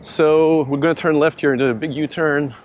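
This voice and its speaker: A man in his thirties with a French accent, talking casually while out riding, in a relaxed, rambling way with many restarts. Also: fairly high pitch, fairly quick, a little hiss or hum. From France. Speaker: startup founder. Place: outdoors on city streets.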